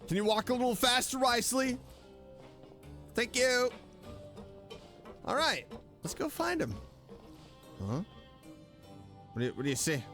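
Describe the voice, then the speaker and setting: Male VTuber, swooping pitch, unhurried pace, casual gameplay commentary, exclamatory, repeats phrases. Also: big pitch swings, fairly bright tone. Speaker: VTuber game streamer. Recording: game music playing behind. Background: video game music.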